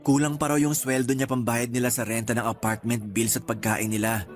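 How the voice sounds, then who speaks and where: A man's voice: mid-range voice, little pitch movement, brisk, soft background music, lightly acted. A man with a Filipino accent playing a lovestruck young suitor in a radio drama, earnest, hurt and defensive. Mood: lovesick, defensive. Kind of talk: radio drama acting in casual dialogue.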